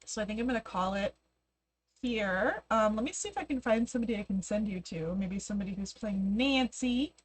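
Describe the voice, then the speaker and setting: A woman's voice: medium pitch, expressive, brisk. A woman streaming herself playing a game, chatting casually with viewers, her voice strained and sore. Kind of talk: casual live gameplay commentary.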